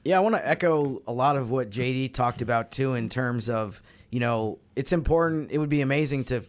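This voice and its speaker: Mid-pitched man discussing sports. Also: brisk. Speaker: sports podcast panelist.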